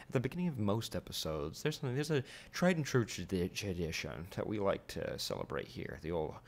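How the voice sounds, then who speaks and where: Animated young man bantering as podcast host. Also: low voice, brisk, vocal fry. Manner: playful, repeats words and phrases for emphasis. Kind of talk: casual comedic podcast banter.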